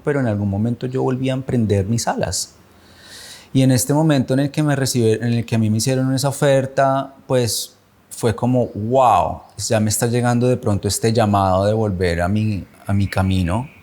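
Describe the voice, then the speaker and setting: A man in his thirties from Bogotá with a Colombian accent, giving a reflective personal testimony, measured and often searching for words. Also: fairly low pitch, darkish tone.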